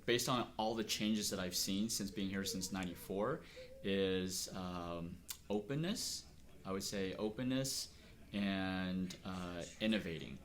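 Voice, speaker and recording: Earnest, low-voiced middle-aged man. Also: fairly bright tone. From Texas. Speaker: interviewee, acupuncturist. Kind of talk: reflective personal interview.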